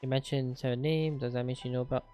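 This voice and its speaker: A man's voice: medium pitch, fairly even intonation, quick pace, mellow, clear, resonant tone, soft background music. A man giving casual let's-play reactions, blunt, slangy and joking. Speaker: gaming video creator.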